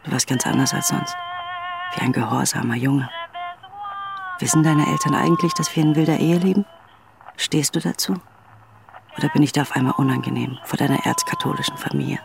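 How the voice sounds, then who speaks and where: A woman's voice: very deep voice, fairly quick, vocal fry, pauses often, noisy background. An actress playing a worn-out, independent and outspoken young working woman in a radio drama, speaking intimately over music. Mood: confiding, weary.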